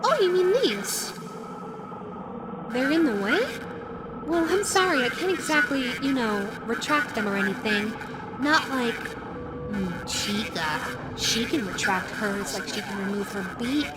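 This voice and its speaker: A woman's voice: fairly high pitch, animated, a little slow. A woman voicing a flirty, menacing female animatronic fox in an audio roleplay, playful, coy and teasing. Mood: pouty, clingy. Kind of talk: audio roleplay addressed to the listener. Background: music.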